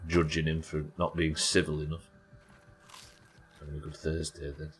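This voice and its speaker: Low, croaky British man streaming. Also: monotone, normal speed. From United Kingdom. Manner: chatty, swears, reads chat aloud. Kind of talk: casual gameplay chat.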